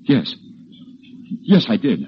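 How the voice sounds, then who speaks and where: An actor playing an anxious, desperate, weary professor in a 1940s radio drama, halting and stammering under pressure. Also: male voice, medium pitch, huge pitch swings, slightly rough, exaggerated voice. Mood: desperate, pleading. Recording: muffled, hissy old radio broadcast.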